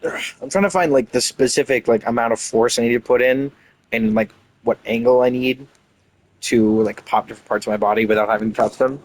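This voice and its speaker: A man's voice: medium pitch, fairly quick, a little hiss or hum, rough recording. A man doing casual gameplay commentary, rambling and thinking aloud as he streams. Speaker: game streamer. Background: game music.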